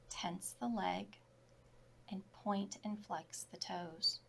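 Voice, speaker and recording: A woman yoga instructor leading a guided relaxation meditation in short, soft, measured phrases. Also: fairly low pitch, vocal fry, slight background noise.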